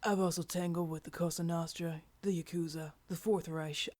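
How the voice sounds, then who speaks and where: Terse, deadpan male demon read by a woman. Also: lowish voice, normal speed, close to an everyday voice. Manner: short, staccato, deadpan.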